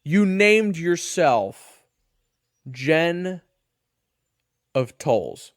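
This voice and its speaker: A man's voice: a little high, sing-song, extremely slow, no room sound, studio quality, heavily acted. A man playing an exasperated, sarcastic party leader in a fantasy audio drama, speaking casually. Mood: incredulous, annoyed. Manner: casual, incredulous. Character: exasperated, sarcastic male adventurer leading a party. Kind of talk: fantasy audio roleplay acting.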